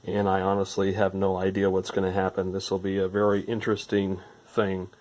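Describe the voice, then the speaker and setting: A man's voice: deep voice, completely flat delivery, a little fast, darkish tone. A man giving informal hands-on repair narration, rambling a little as he thinks aloud. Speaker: electronics repair hobbyist presenting a restoration.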